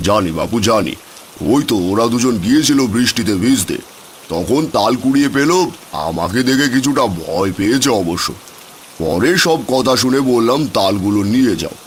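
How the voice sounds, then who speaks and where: A man's voice: mid-range voice, lively intonation, normal speed, lightly acted. An actor playing a kindly, generous old village headman in a cartoon fairy tale. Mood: genial. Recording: rain noise behind.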